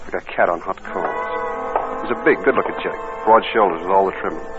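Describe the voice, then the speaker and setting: A man's voice: sits low, fast talker, natural voice. An actor playing a tough, world-weary man narrating his own crime story in clipped, slangy 1940s radio noir style. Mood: grim, controlled. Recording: tinny old radio recording with organ music.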